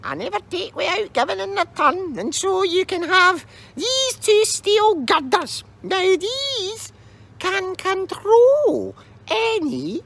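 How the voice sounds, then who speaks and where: Super high wobbly granny, moaning and whimpering all over the place. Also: female voice, sing-song, takes it slowly, gravelly, a bit bright, full character voice. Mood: fretful. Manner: wailing, whimpering sighs and moans.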